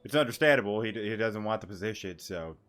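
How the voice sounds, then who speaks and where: A man's voice: fairly low pitch, expressive, quick pace, fairly bright tone. A man giving casual, rambling gameplay commentary as a streamer, repeating words and swearing casually. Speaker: gaming streamer. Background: game music.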